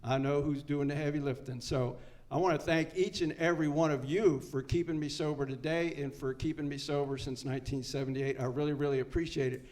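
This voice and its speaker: A man's voice: on the high side, steady tone, fairly quick, a little mellow. Folksy earnest man in his seventies. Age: 70s. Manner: folksy, earnest, repeats key lines for emphasis. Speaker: recovery meeting speaker. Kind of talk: personal story told to a live meeting.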